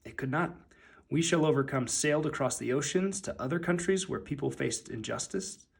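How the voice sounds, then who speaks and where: A man reading a children's picture book aloud as narrator, with deliberate, emphatic phrasing. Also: medium pitch, vocal fry, breathy edge.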